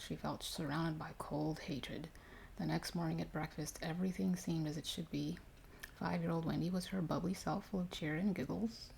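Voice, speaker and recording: A woman reading a ghost story aloud in a hushed, measured ASMR style, with occasional stumbles. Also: on the low side, creaky, slight background noise, poor audio. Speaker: story reader.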